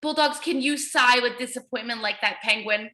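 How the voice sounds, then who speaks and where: A woman reading aloud to young children as their teacher, encouraging and exaggerated, pausing to ask questions. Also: fairly high pitch, bright, forceful tone.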